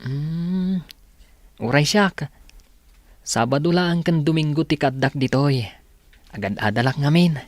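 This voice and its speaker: A man's voice: a little high, a little slow, pauses often, no echo, lightly acted. A man playing a shy, hardworking young student with an Ilocano Filipino accent in a comedy radio drama, speaking in a bashful, hesitant way. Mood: polite. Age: young adult.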